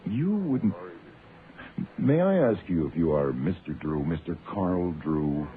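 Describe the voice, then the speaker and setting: An actor playing a breezy, self-assured private detective with a flippant, colloquial delivery in a radio drama. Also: male voice, deep voice, sing-song, a little slow. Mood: brisk, persuasive. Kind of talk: hardboiled detective radio drama, narration and dialogue. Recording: tinny band-limited old radio, music behind.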